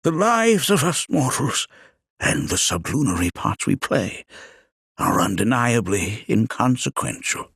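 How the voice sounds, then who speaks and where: An actor voicing a wise, kindly old scholar in a video game, with a raspy, aged voice and a ponderous, formal manner. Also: male voice, mid-range voice, dry recording, studio quality, exaggerated voice. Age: older. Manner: ponderous, formal, archaic storyteller phrasing. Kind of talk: video game character voice acting.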